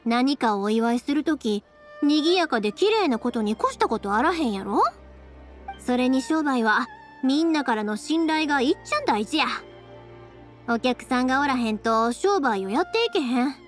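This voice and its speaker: An actress playing a cheerful, big-hearted young fireworks maker in an anime game, speaking with a Kansai accent. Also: female voice, high-pitched, normal speed, faint music behind. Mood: earnest. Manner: lively, friendly, expressive dialect talk. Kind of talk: Japanese anime game voice acting.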